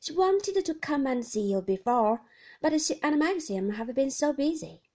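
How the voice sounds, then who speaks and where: A woman's voice: high-pitched, expressive, measured pace, warm tone, voice pushed up. A woman voicing a hearty adult woman who raises her voice to a deaf old relative.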